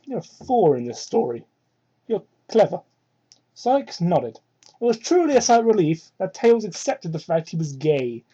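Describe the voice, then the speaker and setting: A woman's voice: big pitch swings, moderate pace, mellow, breathy edge. A woman reading fan fiction aloud as an amateur, breaking off for irreverent, sweary asides, on a poor microphone. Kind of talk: dramatic reading with mocking asides. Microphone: cheap microphone. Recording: muffled low-quality microphone.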